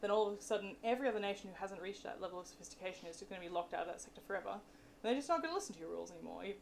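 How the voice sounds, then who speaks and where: A woman's voice: mid-range voice, lively intonation, racing, vocal fry. A woman giving informal, candid explanations as a guest science speaker answering questions at a live talk. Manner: chatty, explanatory, candid about limits of expertise. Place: presentation room with an audience. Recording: noisy live room recording.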